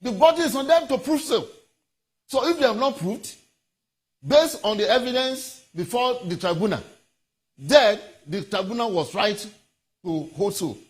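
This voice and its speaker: A Nigerian man with a high, rough-edged voice speaks as a legal analyst in an interview. He is deliberate and emphatic, often stopping and repeating words as he lays out his points. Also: animated. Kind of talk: formal legal commentary in a TV interview.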